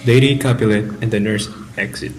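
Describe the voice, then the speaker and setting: A man's voice: on the low side, fairly even intonation, fairly quick, soft background music. A man reading stage directions as narrator of a student radio drama.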